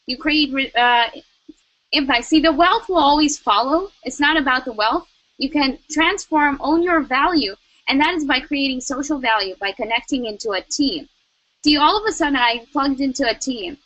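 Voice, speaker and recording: High bright woman motivating. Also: normal speed, dry recording, low-quality recording. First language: Russian. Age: young adult. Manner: emphatic, repeats key phrases. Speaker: network marketing co-host. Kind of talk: motivational talk.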